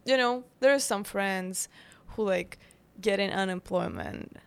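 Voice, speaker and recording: A woman in her twenties speaks with a Ukrainian accent in a relaxed interview. She talks slowly, stopping often on fillers and restarts, at a middle pitch. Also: vocal fry, clear, resonant tone. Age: 20s. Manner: halting, full of fillers and restarts. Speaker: guest, bakery founder. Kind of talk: casual interview chat.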